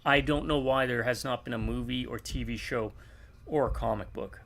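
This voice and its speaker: A man's voice: medium pitch, moderate pace, a bit bright. A man chatting casually while gaming, telling a long rambling story and acting out bits of dialogue. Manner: rambling storytelling, acts out quotes. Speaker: gamer co-op player.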